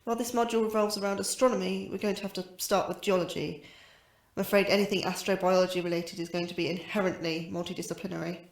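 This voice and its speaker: An actress playing a young astrobiologist, anxious and wry, with a dry, scholarly way of talking. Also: female voice, lowish voice, steady tone, quick pace, close to an everyday voice. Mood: wry, lecturing. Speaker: lecturer. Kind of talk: scripted lecture in audio drama. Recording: roomy echo, faint music behind.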